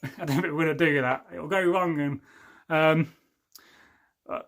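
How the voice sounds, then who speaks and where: A man's voice: fairly high pitch, fairly even intonation, measured pace, a bit bright. A man hosts a casual livestream, chatting loosely and joking as he reads out viewers' comments. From England. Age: 40s. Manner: rambling and jokey, often losing his thread. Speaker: livestream host.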